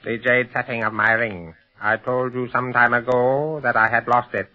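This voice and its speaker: Guy doing a villain's obedient henchman, very flat formal delivery, muffled old radio drama. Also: medium pitch, close to an everyday voice. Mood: uneasy. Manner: formal, measured, subservient. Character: superstitious, deferential male henchman of a crime lord. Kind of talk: 1930s radio serial acting. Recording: dull 1930s radio recording.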